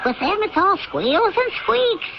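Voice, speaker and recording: A woman's voice: extremely high pitch, sing-song, measured pace, vocal fry, heavily acted. A woman playing a disdainful, articulate female ape in a radio drama, indignant and superior. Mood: haughty, puzzled. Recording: dull, noisy old radio broadcast.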